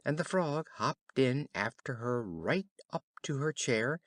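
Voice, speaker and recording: A man narrating a children's fairy tale in an expressive, careful storytelling style. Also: mid-range voice, unhurried, dry recording, studio quality. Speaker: audiobook narrator.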